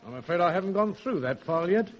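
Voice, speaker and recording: An actor playing a shrewd, respectful police sergeant in a radio drama, measured and analytical. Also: male voice, high-pitched, lively intonation. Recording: dull old radio recording.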